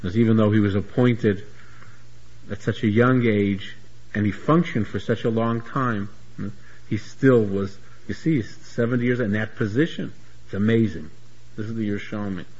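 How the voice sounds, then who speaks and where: Husky guy teaching talmud, style words, keeps restarting sentences, kinda muffled recording. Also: fairly low pitch, normal speed, darkish tone. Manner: searching restarts, repeats words while explaining. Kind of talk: Talmud lecture.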